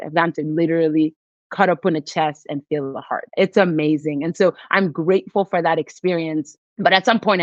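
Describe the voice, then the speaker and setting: A woman from Nigeria talks in an earnest, reflective way as a guest on a podcast. Her voice is on the low side, recorded dry and a little dull, as if over a modest mic. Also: darkish tone, dry recording. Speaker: physician and investor guest. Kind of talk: podcast interview.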